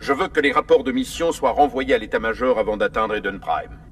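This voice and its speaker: A bad-tempered military captain giving orders in a sci-fi game. The voice is gravelly and swings widely in pitch, sounding distant from the mic. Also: male voice, lively intonation, brisk, fairly bright tone, slight background noise. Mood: stern, commanding. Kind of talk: video game voice acting.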